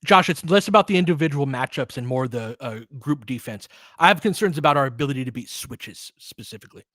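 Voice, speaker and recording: A man's voice: medium pitch, animated, fast, fairly bright tone, dry recording. Man calling basketball, casual and dry-sounding. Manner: informal, conversational, clipped phrases on plays. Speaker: host doing live play-by-play for fans. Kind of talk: casual basketball commentary mixed with chat replies.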